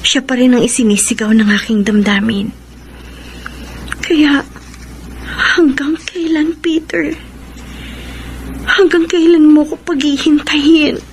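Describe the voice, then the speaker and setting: A woman acting a sweet, lovelorn young woman in a Filipino radio drama, with emotive, drawn-out delivery and a Filipino accent. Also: sits high, fairly even intonation, a little hiss or hum, low-quality recording. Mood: wistful. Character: young woman Jessica, sweet, torn, pining for absent love.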